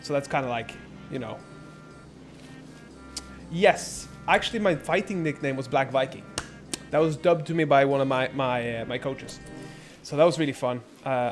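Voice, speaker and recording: A young man born in Norway, chatting casually and rambling on his live stream, swearing freely. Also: mid-range voice. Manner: rambling, laid-back, lots of filler and swearing.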